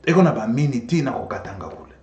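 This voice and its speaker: Congolese man, Congolese French accent, Swahili native: lively political commentator, repeats himself, echoey room. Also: medium pitch, quick pace, muffled recording. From Democratic Republic of the Congo. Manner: animated storyteller, repeats phrases, switches languages. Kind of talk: impassioned political monologue.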